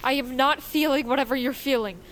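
A woman's voice: high voice, brisk, very noisy, natural voice. A woman playing a frightened, defensive teenage girl in an audio drama, terse and guarded. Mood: panicked, irritated. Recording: music behind the voice.

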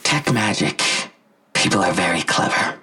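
A low, raspy villain in an audio drama, gloating from confinement. His delivery is mocking and theatrical, swinging freely in pitch, with husky breath in every phrase. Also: animated, moderate pace, pushed and bright, exaggerated voice. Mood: gloating, sly. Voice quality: gravelly, husky. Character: smug imprisoned male villain, gloating, wounded, taunting.

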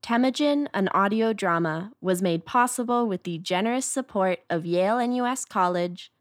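A woman's voice: medium pitch, normal speed, no echo, studio quality. A woman reading scripted credits as the announcer of an audio drama.

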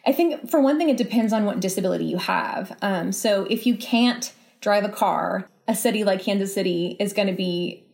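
Brief: American female writer, thirties, medium pitch, reflective and personal delivery. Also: normal speed, creaky, hardly any pauses, darkish tone. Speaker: writer and podcast guest. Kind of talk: reading a personal essay aloud, then thoughtful interview answers.

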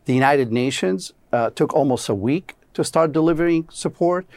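A man's voice: fairly high pitch, normal speed, a little mellow, no room sound. Earnest Syrian-born man, expert guest. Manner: earnest, explanatory, grave. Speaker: interview guest, policy expert. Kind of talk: news interview answers.

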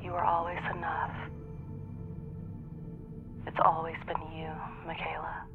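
A woman playing a tender, devoted wife, breathy and intimate, heard through a radio filter in an audio drama. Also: low voice, completely flat delivery, unhurried, narrow, tinny audio. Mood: loving, coaxing. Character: tender, devoted wife calling her partner home. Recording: band-limited radio link, music behind.

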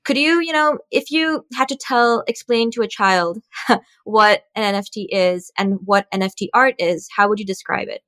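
A woman's voice: medium pitch, normal speed, a bit bright, dry recording. A woman interviewer asking loosely worded questions, searching for her phrasing with fillers.

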